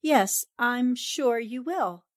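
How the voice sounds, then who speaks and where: A woman's voice: a little high, expressive, slow pace, dry recording, studio quality. A woman playing a confident, determined newspaper sleuth in 1940s radio drama style, assertive and politely pointed. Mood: coolly insistent.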